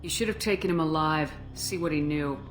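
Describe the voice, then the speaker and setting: An actress playing a tough, skeptical military commander in a sci-fi video game, speaking firmly and bluntly. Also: female voice, sits low, fairly quick, close to an everyday voice. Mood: disapproving. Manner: firm, blunt, challenging. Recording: music behind the voice.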